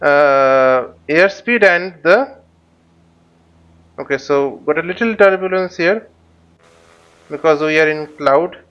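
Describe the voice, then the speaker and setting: A man teaching a flight simulator tutorial, speaking haltingly and often repeating words as he explains. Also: fairly high pitch, fairly bright tone, clear, resonant tone. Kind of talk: spoken tutorial while flying a simulator. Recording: dull lo-fi microphone sound.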